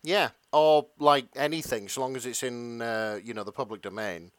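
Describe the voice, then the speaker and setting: Man, laughing co-host, casual chat, dry close sound, medium pitch. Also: fairly even intonation, normal speed, smooth, no room sound. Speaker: podcast co-host.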